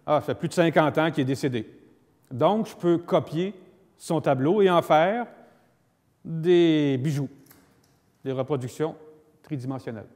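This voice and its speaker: A man's voice: fairly high pitch, measured pace, pauses often, a little mellow. A man with a Quebec French accent lecturing like a lawyer, explaining didactically with emphatic repetition and acted-out examples. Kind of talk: public lecture on law with spoken examples. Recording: long echoing hall reverb.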